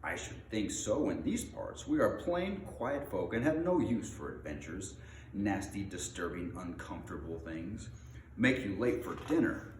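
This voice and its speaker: Man voicing a fussy hobbit gentleman, very animated. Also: fairly low pitch, a little slow. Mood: disapproving. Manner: chatty, exclamatory, old-fashioned polite phrasing. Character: fussy, middle-aged male hobbit, polite, easily flustered. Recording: roomy echo, faint background noise.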